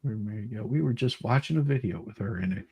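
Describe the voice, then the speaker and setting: A man's voice: fairly low pitch, fast, darkish tone, slightly rough, no echo. A man hosting a livestream with casual chat and commentary, repeating phrases and trailing off mid-sentence as he rambles. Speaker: livestream host.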